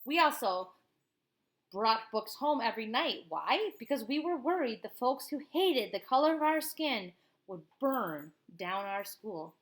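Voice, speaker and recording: Bright, higher-pitched woman reading to children. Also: unhurried. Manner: expressive, explanatory, addresses children directly. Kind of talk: animated picture-book read-aloud with informal asides.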